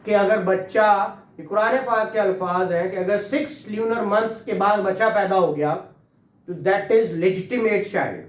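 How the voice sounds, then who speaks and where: A man's voice: sits high, measured pace. Male law lecturer, Pakistani Punjabi accent, interactive and questioning; recorded at a distance in an echoey room. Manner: conversational, questioning, acts out courtroom exchanges. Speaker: law teacher. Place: indoor classroom.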